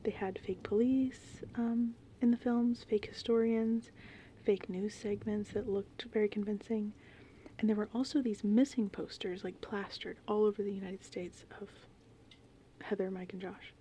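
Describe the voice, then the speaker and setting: Whispered female ASMR, mellow and breathy, thirties, informal rambling, faint gentle rain and thunderstorm ambience. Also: medium pitch, flat delivery, unhurried, slight background noise, rough recording. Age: 30s. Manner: informal, meandering, conversational. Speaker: ASMR host, horror film fan.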